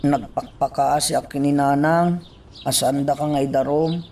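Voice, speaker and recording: A man playing an earnest young son in a radio drama, speaking gently and slowly. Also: a little high, fairly even intonation, a little hiss or hum. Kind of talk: amateur radio drama acting.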